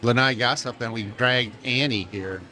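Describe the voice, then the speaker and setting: A man's voice: medium pitch, moderate pace, pushed and bright. An older man hosting a casual interview on a restaurant patio, asking easygoing questions. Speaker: host.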